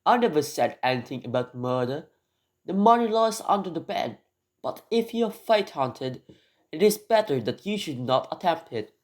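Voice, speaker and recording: A man's voice: fairly high pitch, sing-song, moderate pace. A man voicing a cunning, defiant lady of the manor in a melodramatic radio drama, theatrical and indignant. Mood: coaxing.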